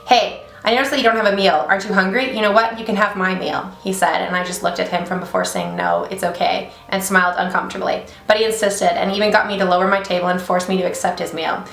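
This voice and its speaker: A woman's voice: medium pitch, quick pace, gravelly, hardly any pauses, a bit bright, echoey room, a little hiss or hum. A young woman hosting a beauty channel, chatting casually and reading a story aloud, with frequent swearing in her asides. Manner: chatty, swears freely in reactions.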